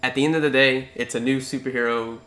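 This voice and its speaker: An enthusiastic man with a bright, forward voice, stumbling over the first words of his sentences. He sounds like he is in an echoey room. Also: mid-range voice, steady tone, fast talker. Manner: enthusiastic, stammers on starts. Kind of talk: casual podcast chat.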